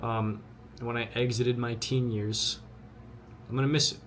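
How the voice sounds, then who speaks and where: A man's voice: medium pitch, flat delivery, measured pace, fairly bright tone, a little hiss or hum. Earnest twenty-year-old man, blunt. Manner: earnest, blunt, repeats himself often. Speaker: vlogger. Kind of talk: motivational talk to camera.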